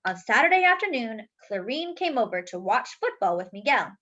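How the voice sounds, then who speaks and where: Animated woman reading a picture book aloud. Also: on the high side, normal speed, highs cut off. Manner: careful, animated, teacherly. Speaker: storyteller reading aloud to children. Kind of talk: expressive children's picture book read-aloud.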